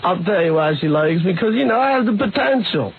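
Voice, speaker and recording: Awkward, halting high-voiced man guest. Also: expressive. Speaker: studio guest. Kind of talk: radio talk show guest. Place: radio studio. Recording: tinny, noisy radio broadcast.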